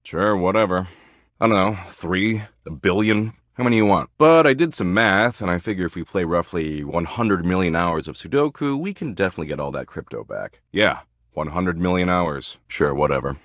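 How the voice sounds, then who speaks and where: A man's voice: low voice, expressive, normal speed, fairly bright tone, dry recording, studio quality. A man voicing a smug, slangy embodiment of existential dread in a video game, with a sardonic, dismissive slacker-cool delivery. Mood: smug, casual. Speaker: voice actor in a dating simulator game. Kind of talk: video game character dialogue.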